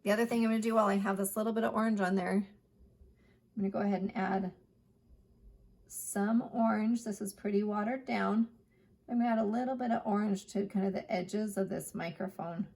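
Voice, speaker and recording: Woman, art teacher, hoarse, gravelly fry, chatty step-by-step instructions. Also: mid-range voice, fairly even intonation, brisk, darkish tone. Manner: chatty, plain instructional talk. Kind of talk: step-by-step painting lesson.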